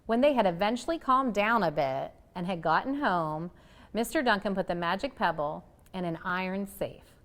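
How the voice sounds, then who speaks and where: Female picture-book reader, animated and dramatic, with very varied intonation. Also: mid-range voice, moderate pace. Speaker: storybook reader. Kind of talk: expressive scripted narration of a children's picture book.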